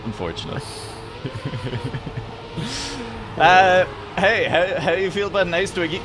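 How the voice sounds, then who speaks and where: Playful man with a lively, bouncing voice. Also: high-pitched, takes it slowly, background noise. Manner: playful, giggly, self-deprecating. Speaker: esports co-caster. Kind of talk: casual live esports commentary.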